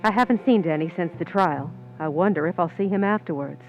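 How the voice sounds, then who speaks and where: A woman's voice: fairly low pitch, brisk, narrow, tinny audio, lightly acted. Confiding film stand-in played by a woman, faint music. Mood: bitter resignation. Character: ambitious young woman film stand-in, guilty, coolly confiding. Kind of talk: old-time radio suspense narration and acting.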